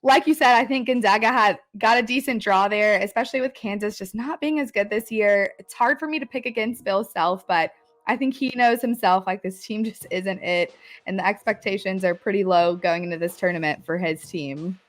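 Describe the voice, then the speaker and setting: A woman guest on a sports podcast, talking casually with a hoarse, scratchy voice and an eager manner that breaks into laughs. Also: mid-range voice, normal speed. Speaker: guest analyst.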